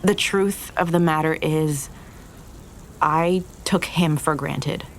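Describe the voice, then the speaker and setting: A young woman in an audio drama, earnest and full of regret. Her voice sits low with a creaky edge, and she opens up haltingly, as if confessing. There is faint noise behind her. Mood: wistful, regretful. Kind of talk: naturalistic audio drama acting.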